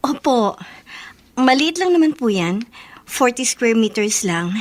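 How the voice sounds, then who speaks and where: A woman's voice: on the high side, very animated, measured pace. An actress playing a troubled, guarded wife speaking respectfully and hesitantly to her mother in a radio drama, with a Filipino accent. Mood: subdued, hesitant. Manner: hesitant, polite.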